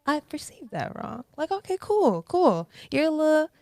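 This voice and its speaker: A woman in her twenties, a podcast guest, talking in a reflective, animated way with many fillers. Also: high-pitched, normal speed, no room sound, studio quality. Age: 20s. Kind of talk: casual interview chat.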